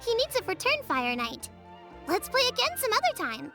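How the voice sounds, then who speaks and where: A woman's voice: extremely high pitch, huge pitch swings, moderate pace, over music, exaggerated voice. A woman voicing an earnest, slightly sulky but well-meaning young child in a video game, with a childlike voice. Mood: reluctant. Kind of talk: video game character acting.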